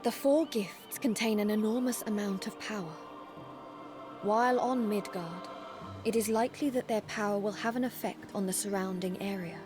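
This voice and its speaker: Music plays clearly behind a young female warrior of the gods, voiced by a woman. She is solemn and earnest, with a medium-pitched voice and formal, stern diction, as in a fantasy game cutscene. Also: moderate pace, close to an everyday voice. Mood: solemn resolve. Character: solemn, dutiful young female Valkyrie warrior serving a god. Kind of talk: video game voice acting. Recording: music under the voice.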